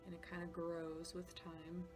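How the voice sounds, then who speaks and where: Low-pitched woman, casual walkthrough. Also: monotone, unhurried. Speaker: podcast composer. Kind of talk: casual behind-the-scenes walkthrough. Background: music demo clips playing.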